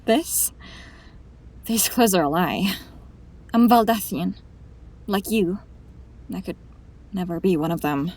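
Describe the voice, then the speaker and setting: A woman playing a grieving, righteous young heroine in a fantasy audio drama, speaking with deliberate emotional weight. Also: on the low side, a little mellow. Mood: calm, earnest.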